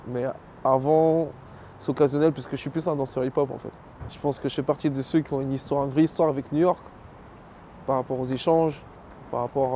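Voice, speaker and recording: A middle-aged man with a mellow, dark-toned voice, speaking at a mid pitch. He recounts his dance career in a relaxed way, pausing on fillers and listing names. Faint city traffic hums behind him outdoors. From Belgium, Congolese family. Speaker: professional dancer and choreographer. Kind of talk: casual interview, personal history.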